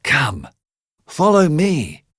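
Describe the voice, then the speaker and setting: A man voicing a fisherman's soul in a bedtime story, a calm, sly tempter with a coaxing, soothing delivery. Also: a little high, drawn-out words, slightly rough, no echo, clean studio sound, pitched above the performer's own voice, exaggerated voice. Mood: sly, commanding.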